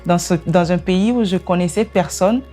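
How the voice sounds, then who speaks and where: A woman's voice: on the low side, normal speed, warm tone, faint music behind. A woman from Benin with a Beninese accent, giving personal testimony in an interview. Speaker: documentary interviewee, business analyst.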